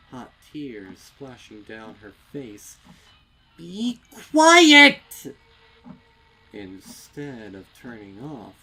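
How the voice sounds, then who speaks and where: A man narrating a dark story with intense, theatrical delivery and deliberate phrasing. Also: lowish voice, slight background noise, poor audio. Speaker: fan fiction narrator. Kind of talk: dramatic scripted horror story narration.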